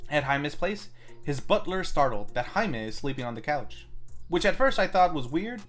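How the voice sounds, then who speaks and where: A man's voice: fairly high pitch, fairly bright tone. A man hosting a film review, reading a scripted plot recap and adding wry commentary of his own. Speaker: film review show host.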